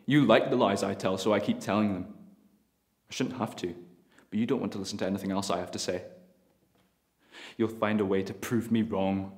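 A man's voice: fairly low pitch, a little mellow, lightly acted. Bitter guy who swears a lot, confessional, kinda echoey room, an actor doing it. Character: bitter self-loathing petty thief man. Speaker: actor in a scene. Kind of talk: dramatic monologue then comic dialogue.

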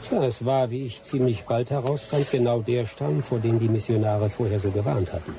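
A man's voice: medium pitch, brisk, warm tone, slight background noise, natural voice. An actor playing a seasoned, teasing male jungle adventurer with dry humour in a German radio drama. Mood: wry storytelling.

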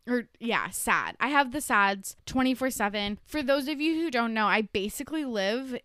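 A young woman from Canada chats through her podcast, giggling often and sprinkling in "like" and the odd swear. Her voice sits a bit high and comes through smooth and clear. A faint nasal stuffiness from allergies colors it, and the handheld mic sounds close and dry. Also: animated, moderate pace, sharp tone, no room sound. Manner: giggly, says "like" a lot, swears casually. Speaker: podcast host. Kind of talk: casual chat. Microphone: handheld performance mic.

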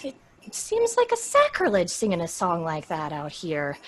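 A woman's voice: lowish voice, huge pitch swings, normal speed. A woman playing a prim, disapproving young traveler in an old-time radio drama, with a proper manner. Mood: scolding. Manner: scolding, proper, exasperated.

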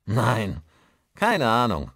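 Man playing a loud, hearty pub landlord. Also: fairly low pitch, huge pitch swings, very slow, creaky, no echo, clean studio sound, voice pushed up, full character voice. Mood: puzzled. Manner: loud, jovial, familiar.

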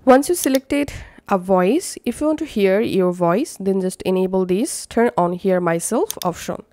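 A woman's voice: medium pitch, a little slow, a little mellow, no room sound, studio quality. Female tutorial narrator, mid-range voice, step-by-step instructional delivery.

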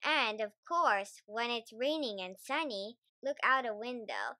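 Slow, animated, bright-voiced female reader. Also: on the high side, dry recording. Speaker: storybook reader. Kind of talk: children's read-aloud, scripted narration.

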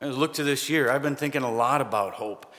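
A middle-aged man preaching a sermon from a pulpit, conversational and lightly humorous, pausing for emphasis. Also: mid-range voice, a bit bright. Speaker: pastor. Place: church sanctuary, standing at a pulpit. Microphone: pulpit microphone, far camera. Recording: long church reverb.

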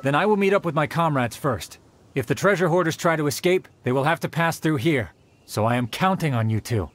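Man playing a higher-voiced earnest young guard soldier. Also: expressive, a little hiss or hum, close to an everyday voice. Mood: formal, reassuring. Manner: formal, courteous, measured delivery. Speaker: game character giving quest briefings. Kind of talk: scripted video game dialogue.

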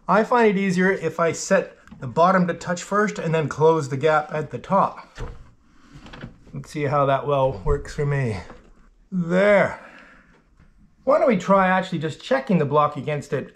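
A man's voice: fairly high pitch, expressive, moderate pace, slight background noise. A middle-aged man working as a shipwright, thinking aloud as he methodically explains a woodworking job in his shop. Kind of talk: workshop how-to narration. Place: wooden-walled boat shop, indoors.

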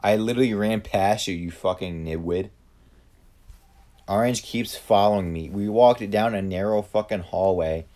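A man's voice: on the low side, dark tone. A man giving live gameplay commentary, blunt and profane, ranting at the other players. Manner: profane, blunt, quick to rant. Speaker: gamer streamer.

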